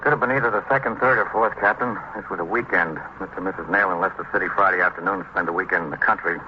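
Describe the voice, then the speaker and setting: An actor playing a terse, businesslike American police lieutenant, reporting in clipped, matter-of-fact phrases in an old-time radio drama. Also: male voice, deep voice, very fast, close to an everyday voice. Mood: terse, factual. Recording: muffled old radio recording, faint noise.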